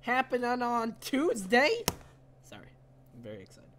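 Bright, lively young man podcasting. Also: very high-pitched, normal speed. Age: young adult. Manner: slangy, excitable. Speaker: podcast co-host and game streamer. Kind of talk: casual gaming podcast banter. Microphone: headset, online call. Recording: faint background noise.

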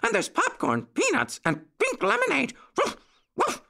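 A man voicing excitable little puppy boys in a children's story, eager and pleading with barking exclamations. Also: extremely high pitch, unhurried, gravelly, pitched above the performer's own voice, heavily acted. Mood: excited.

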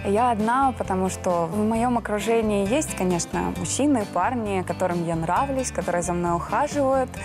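A woman's voice: mid-range voice, moderate pace, few pauses, over music. A twenty-four-year-old woman announcer introducing herself in a dating show interview.

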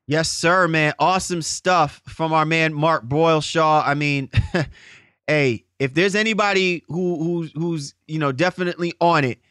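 Man, podcast host, smooth voice, slightly high pitch, effusive, informal sports talk. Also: measured pace, a bit bright, dry recording, studio quality. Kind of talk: conversational sports podcast interviewing.

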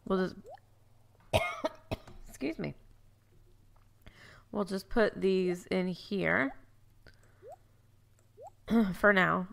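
A woman's voice: mid-range voice, drawn-out words, vocal fry, long pauses. A woman with a stuffy, congested voice, chatting casually as she plays through a farming game, thinking aloud and correcting herself. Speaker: let's play host. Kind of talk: casual gameplay commentary.

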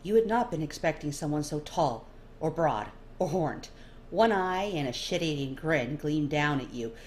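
Low-pitched woman reading a story. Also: animated, normal speed. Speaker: amateur audiobook reader. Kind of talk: expressive scripted second-person story narration. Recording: faint background noise.

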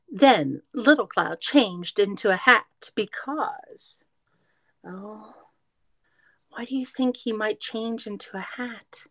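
A young woman reading a picture book aloud for small children, expressively, pausing to put questions to her listeners. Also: a little high, fairly bright tone, dry recording. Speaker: children's story reader.

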